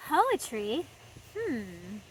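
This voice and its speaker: A woman voicing a thoughtful little chipmunk in a storybook, answering in a slow, musing way. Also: on the high side, huge pitch swings, fairly bright tone, slight background noise, exaggerated voice, rough recording.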